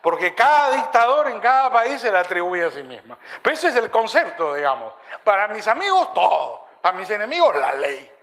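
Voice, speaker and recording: A man's voice: high voice, a little fast, hardly any pauses. An older man lecturing on law, telling anecdotes with rhetorical asides. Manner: animated storyteller, rhetorical asides. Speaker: law professor.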